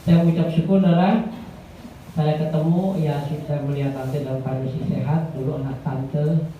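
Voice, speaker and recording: A man giving a guest sermon to a church congregation in a rambling way, often calling out to the listeners and growing louder as he preaches. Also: fairly even intonation, normal speed, a little hiss or hum. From Indonesia. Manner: rambling, addresses the congregation as "saudara"; grows louder and higher when preaching. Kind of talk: Pentecostal sermon. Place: church hall. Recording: echoey church hall, tinny and far off.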